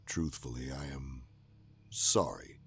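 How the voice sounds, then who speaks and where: An actor playing a cold, weary traitor cultist in an audio drama, husky and gravelly, hushed and deliberate. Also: male voice, rumbling low, big pitch swings, full character voice. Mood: cold regret. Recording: music behind the voice.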